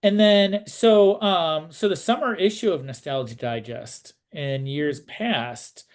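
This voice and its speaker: A man hosting a casual interview, speaking in a hesitant, halting way as he puts his questions and remarks. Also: on the high side. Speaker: interviewer, host.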